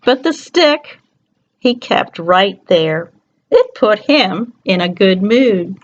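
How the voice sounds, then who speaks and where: A woman reads a children's story at an unhurried pace and a middle pitch. She is expressive and turns to her young listeners with questions. The sound is a little dull and lo-fi. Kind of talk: reading a picture book aloud to young children.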